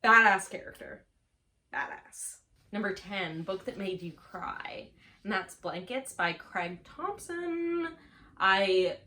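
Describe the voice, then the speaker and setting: A woman's voice: on the low side, sharp tone. A young adult woman vlogging in a casual, gushing chat with offbeat dry asides. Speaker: book vlogger. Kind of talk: casual book chat to camera.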